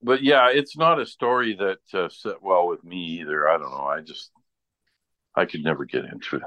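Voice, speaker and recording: Casual male conversationalist, fairly deep pitch, dry close sound. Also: normal speed, bright, forceful tone, no echo. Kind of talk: casual chat.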